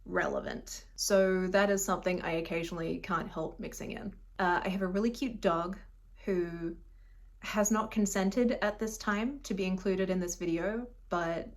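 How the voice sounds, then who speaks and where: A woman's voice: mid-range voice, steady tone, normal speed. A woman in her thirties with a slightly unusual Australian accent, chatting casually to camera in a rambling, self-deprecating way. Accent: Australian, a bit unusual. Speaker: booktube vlogger. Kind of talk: casual direct-to-camera vlog.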